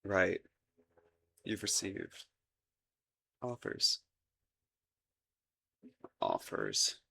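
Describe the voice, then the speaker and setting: A man's voice: sits low, huge pitch swings, drawn-out words, creaky, pushed and bright, breathy edge, heavily acted. A man playing a flustered, lovestruck young crown prince in a romantic audio roleplay, stammering and rambling nervously in formal courtly wording. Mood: flustered, bashful.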